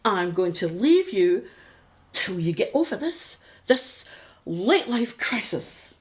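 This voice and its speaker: An actress playing a sarcastic, exasperated middle-aged British wife, practical and sceptical, in a radio play. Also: female voice, medium pitch, very animated, slow pace, a little hiss or hum. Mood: hurt, exasperated.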